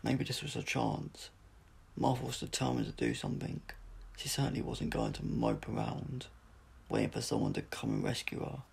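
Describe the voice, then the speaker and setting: A man's voice: lowish voice, huge pitch swings, moderate pace, gravelly, darkish tone, husky. A man narrating an adventure audiobook from a script, with the occasional stumble as he reads aloud. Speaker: audiobook reader.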